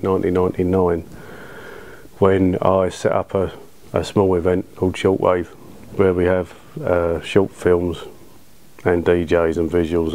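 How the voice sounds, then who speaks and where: A British man in his thirties talking informally in an interview, in a low-key, colloquial way. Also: warm tone. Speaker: cinema owner being interviewed. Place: small dark cinema auditorium, indoors. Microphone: lapel.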